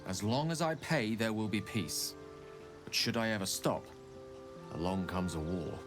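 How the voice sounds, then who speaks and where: An earnest, scholarly wizard acted by a man speaks over prominent music. His voice sits fairly low, and he chooses his words with eloquent, theatrical care, like a remorseful storyteller in a fantasy video game. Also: unhurried, lightly acted. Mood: earnest, wry. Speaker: game character. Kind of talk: dramatic video game dialogue.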